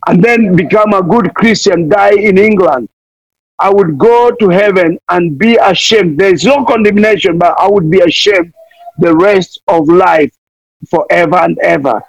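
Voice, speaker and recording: A man's voice: high voice, measured pace, creaky, dry recording. A man from Kenya, preaching fervently as a missionary guest, pleading again and again and playing on words. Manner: impassioned, repeats pleas, wordplay. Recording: dull lo-fi dry sound.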